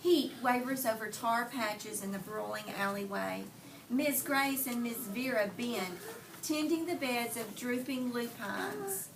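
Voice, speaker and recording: A woman teacher doing an interactive read aloud for young children, telling the story expressively with deliberate phrasing and pausing to put questions to them. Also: fairly high pitch. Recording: distant mic, clearly noisy room.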